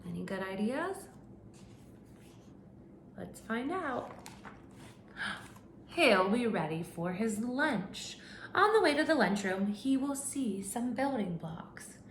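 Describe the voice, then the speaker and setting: Animated woman reading aloud to children. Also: a little high. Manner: animated storytelling with questions to children. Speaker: teacher. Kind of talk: children's picture book read-aloud. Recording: echoey room with faint hum.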